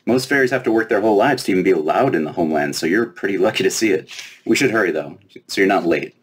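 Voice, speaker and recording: A man's voice: low-pitched, expressive, fast, husky. A man reading a children's storybook, voicing a small, proud, teasing fairy princess who is breezy and self-important. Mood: teasing, brisk. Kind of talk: children's storybook read aloud.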